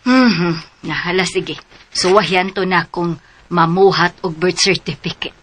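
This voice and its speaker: Bright, practical aunt. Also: female voice, fairly low pitch, measured pace, husky. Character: practical, helpful older female relative of a schoolgirl. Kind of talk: radio drama acting.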